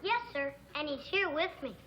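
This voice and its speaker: A young American boy actor playing a polite, businesslike child in a TV comedy, crisp and self-assured. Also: very high-pitched, expressive, normal speed, heavy background noise.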